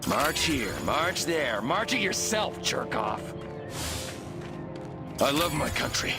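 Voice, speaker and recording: A man's voice: on the high side, sing-song, takes it slowly, over loud music, exaggerated voice. A man playing a brash, grumbling army soldier with an American accent in a video game. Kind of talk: video game cutscene acting.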